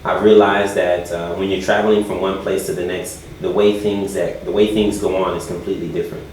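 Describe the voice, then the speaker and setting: Interviewee: man, very low voice, measured and deliberate delivery, booming echoey room, faint hiss. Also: level tone, darkish tone. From United States. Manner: measured, deliberate, many "uh" fillers. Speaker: volunteer teacher. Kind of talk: interview answers.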